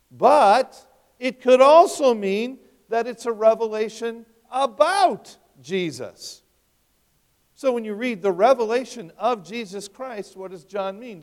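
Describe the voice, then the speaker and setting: A man in his fifties preaching an expository sermon, talking conversationally and asking his listeners rhetorical questions. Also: sits high. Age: 50s. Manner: conversational, rhetorical questions, wide swings in loudness. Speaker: pastor. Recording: long hall reverb.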